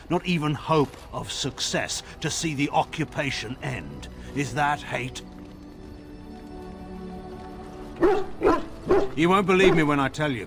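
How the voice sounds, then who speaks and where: Over a game score, a middle-aged warrior lord, proud and world-weary. His pitch moves widely through grave, formal phrases. A dry, sardonic edge runs through his measured speech. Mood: grave, bitter. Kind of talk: dramatic fantasy video game dialogue. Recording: studio voice with game music behind. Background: music.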